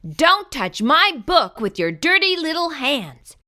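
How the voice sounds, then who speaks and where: A woman's voice: high-pitched, very animated, slow, sharp tone. A woman hosting a children's read-aloud, narrating invitingly and putting questions to young listeners. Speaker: children's story reader and host.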